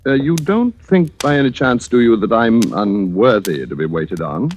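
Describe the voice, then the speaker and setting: An actor in an old-time radio drama playing a pompous, deluded husband who fancies himself a secret prince, with a grandiose, theatrical manner. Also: male voice, medium pitch, lively intonation, normal speed, a little mellow, slight background noise, natural voice. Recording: vintage radio recording, music behind.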